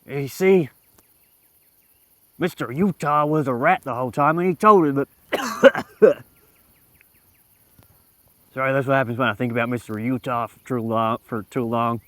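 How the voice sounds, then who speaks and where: A man playing a callous Old West outlaw who tells stories in a rambling, deadpan way, his voice dry. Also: medium pitch, unhurried, pauses often, no echo, lightly acted. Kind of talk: roleplay in a western game.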